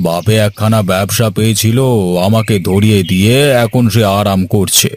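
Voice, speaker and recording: A man's voice: lowish voice, fairly even intonation, normal speed, a little mellow, lightly acted. A man voicing a chatty, curious neighbour in a cartoon. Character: older man, friendly neighbour, nosy.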